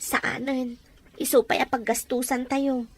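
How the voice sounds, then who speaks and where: A woman's voice: sits high, little pitch movement, unhurried. A woman playing an emotional, anxious wife in an audio drama, speaking in a nagging, confrontational way. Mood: everyday domestic. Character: jealous, anxious wife pleading over household money. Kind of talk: amateur audio drama dialogue.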